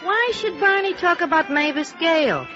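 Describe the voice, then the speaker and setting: A woman's voice: extremely high pitch, animated, normal speed. An actress playing a tired, wisecracking female arcade cashier in a 1950s radio noir drama, streetwise and guarded. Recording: dull vintage radio recording with music.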